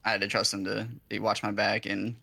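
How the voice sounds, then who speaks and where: A young man with a slightly low voice chats casually as he plays, pausing and restarting his sentences in a relaxed way. Steady noise and game gunshots come through behind him. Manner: relaxed, halting, restarts often. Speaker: guest player, army veteran. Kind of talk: casual chat while gaming. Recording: clear background noise, game gunfire.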